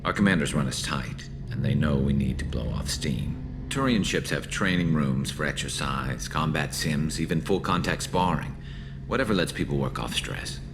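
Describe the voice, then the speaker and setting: A man playing a loyal, battle-weary male alien soldier in a video game, with a dry, wry, measured delivery. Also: extremely low pitch, lively intonation, vocal fry, background noise, exaggerated voice. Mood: wry, relaxed.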